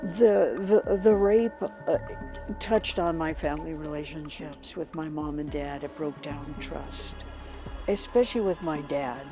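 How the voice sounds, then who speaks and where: An older woman giving personal testimony in an interview, speaking haltingly and repeating words. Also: low voice, over music. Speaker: abuse survivor interviewed.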